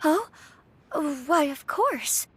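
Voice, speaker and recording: High, lilting young noble lady. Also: very animated, very slow, heavily acted. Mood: polite, impressed. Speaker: voice actor in a video game. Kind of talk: scripted game dialogue.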